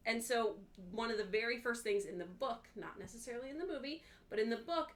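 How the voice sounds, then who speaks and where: A woman's voice: on the high side, a little fast. A woman co-hosting a casual book club livestream, explaining her thoughts point by point.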